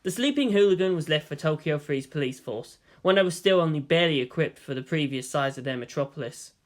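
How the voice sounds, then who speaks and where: A woman reading fan fiction aloud as an expressive storyteller, in audiobook-style narration. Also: deep voice, a little fast.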